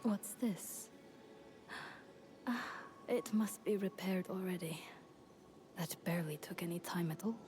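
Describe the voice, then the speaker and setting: An actress playing a gentle, reserved female warrior in a fantasy video game, speaking softly and politely with some breathiness. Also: on the low side, unhurried, long pauses, close to an everyday voice. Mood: soft, earnest. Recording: game music behind the voice.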